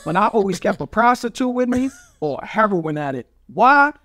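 A middle-aged man with a New York accent holds forth in casual podcast talk. His voice sits high for a man. He is brash and boastful, full of street slang and bawdy storytelling. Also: big pitch swings, normal speed, bright, forceful tone, no echo, studio quality. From Brooklyn, New York. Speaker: guest, street graffiti artist. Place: small studio with foam panels. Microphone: studio mic on a boom arm.

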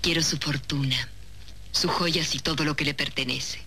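An actress playing an ambitious young woman in a 1970s soap opera, speaking in a deliberate, dramatic way. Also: sits low, steady tone, sharp tone, breathy edge, exaggerated voice. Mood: cold, calculating. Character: ambitious, cold young woman from a humble neighbourhood. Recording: noisy old 1970s TV soundtrack.

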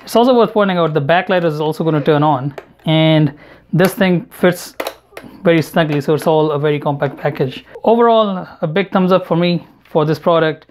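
A man narrating an unboxing and product review in a measured, matter-of-fact way. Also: a little high, darkish tone. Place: indoor room at home, at a table. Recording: home recording with clear background noise. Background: noise, handling clicks.

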